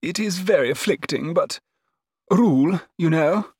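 Man playing a high-pitched, gushing society lady. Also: unhurried, a little mellow, breathy edge, no echo, clean studio sound. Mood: coaxing. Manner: breathless, emphatic exclamations. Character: gushing, worldly, middle-aged society lady cousin. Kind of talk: dramatised period novel narration.

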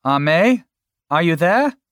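A man's voice: fairly high pitch, expressive, slow, a bit bright, no echo, clean studio sound, voice pushed up. A man voicing a nervous young woman who stammers and hesitates, in an audiobook. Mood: tentative. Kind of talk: audiobook character voice.

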